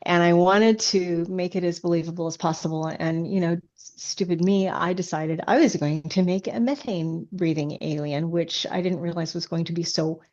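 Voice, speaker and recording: Low-voiced woman, slightly dull audio. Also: fairly even intonation, normal speed, darkish tone. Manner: enthusiastic explainer. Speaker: guest, science fiction author. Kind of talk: casual interview chat.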